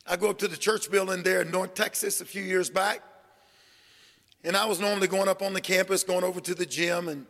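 An older man preaching a sermon, telling humorous stories in a folksy, animated way and acting out the voices of people he quotes. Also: high-pitched, fairly quick, gravelly, big-room sound. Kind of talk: sermon storytelling.

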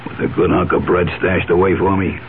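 An actor playing a cynical, menacing ex-convict blackmailer in a 1970s radio drama, gravelly and terse, with slangy, insistent talk. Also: male voice, sits low, level tone, brisk, natural voice. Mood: sly, mocking. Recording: tinny, noisy old radio broadcast.

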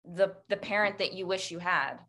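A woman's voice: fairly low pitch, normal speed, sharp tone. A woman hosting an interview in casual conversation, speaking reflectively and encouragingly with many fillers.

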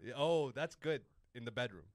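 A young man hosts casual banter, teasing a stranger with crude jokes. His voice sits in a middle pitch and the sound is dry and close, with no room around it. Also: lively intonation, moderate pace, no room sound. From Canada. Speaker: host of a stream chatting with strangers.

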